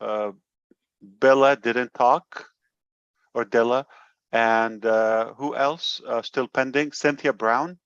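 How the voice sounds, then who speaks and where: Halting, smooth-voiced man hosting a call. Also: mid-range voice, fairly even intonation, fairly bright tone, dry recording. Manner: hesitant, many uh fillers, slow and halting. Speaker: meeting host. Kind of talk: casual video call chat. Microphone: video call. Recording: dull lo-fi call audio.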